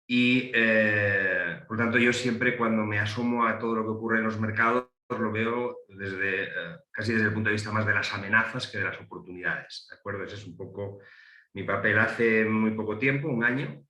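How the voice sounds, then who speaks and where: A middle-aged Galician man gives his view on a panel over a video call, his voice bright and forceful but sitting fairly low. He hesitates, restarts and fills pauses often. The room around him rings with a noticeable echo. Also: steady tone. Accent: Galician Spanish. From Galicia, Spain. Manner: hesitant, many fillers and restarts. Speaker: panel speaker, economist. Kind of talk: panel talk. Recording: roomy echo.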